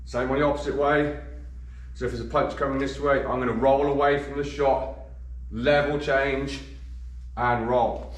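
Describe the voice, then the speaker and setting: A man, a bit croaky from a cold, coaching boxing and counting punches as he demonstrates. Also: medium pitch, fairly even intonation, moderate pace, long pauses. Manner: demonstrates moves while talking, counting punches. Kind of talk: instructional demonstration. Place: gym. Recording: echoey gym, voice from a distance.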